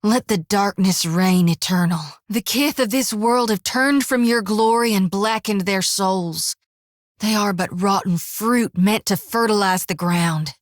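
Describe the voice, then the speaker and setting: A woman's voice: mid-range voice, a little slow, no echo, lightly acted. An actress voicing a devout young woman priest in a fantasy game, talking in an earnest, folksy way. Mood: zealous. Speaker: companion character in a fantasy game. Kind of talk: video game voice acting. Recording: dry studio.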